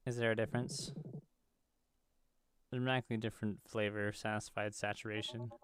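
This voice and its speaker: A man's voice: lowish voice, smooth, no echo. A man hosting a gaming video, reading visual novel dialogue aloud and now and then stumbling over the words.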